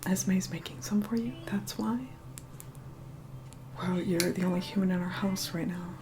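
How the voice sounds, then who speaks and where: A woman's voice: fairly low pitch, fairly even intonation, measured pace, background noise. A woman playing a snobbish, reluctant young vampire in an ASMR roleplay, whispering in a sarcastic, bossy, condescending way. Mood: snide, grudging.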